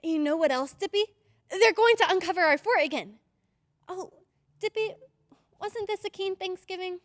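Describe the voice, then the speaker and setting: A woman's voice: very high-pitched, expressive, normal speed. A woman playing an innocent, insistent little girl in an old-time radio drama, speaking with emphatic eagerness. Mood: cheerful, relieved.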